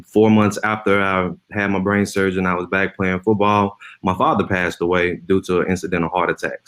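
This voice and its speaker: Former pro football player on a panel, young man, deep monotone, earnest run-on delivery. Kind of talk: panel self-introduction.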